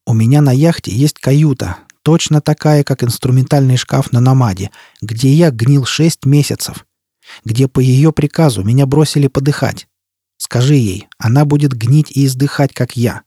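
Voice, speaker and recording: A man's voice: mid-range voice, moderate pace, dark tone, husky, no room sound, clean studio sound. A man voicing an enraged, desperate, vengeful man in an audiobook, with a harsh, commanding, embittered manner. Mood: bitter fury. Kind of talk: audiobook character acting.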